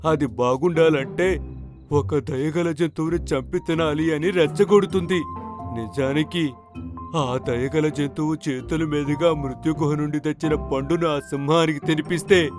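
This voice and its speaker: A man voicing a wise old bear in a children's cartoon fable, telling things in a measured storytelling manner. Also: a little high, a little mellow, faint music behind, natural voice. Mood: solemn, explanatory. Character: wise old bear, elder of the forest animals. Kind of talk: animated children's story acting.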